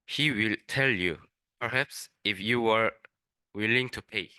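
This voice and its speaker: A young man, a native Korean speaker, reading a rhyming children's story aloud haltingly, stumbling over words. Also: fairly low pitch, sharp tone, clear, resonant tone, dry recording. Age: young adult. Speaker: student reader.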